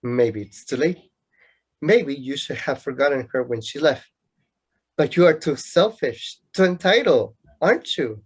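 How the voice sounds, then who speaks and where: A man's voice: mid-range voice, expressive, a little slow, slightly rough. A man acting a sarcastic, lovelorn young student in an amateur radio play, with a mocking, bookish, declamatory manner. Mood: needling.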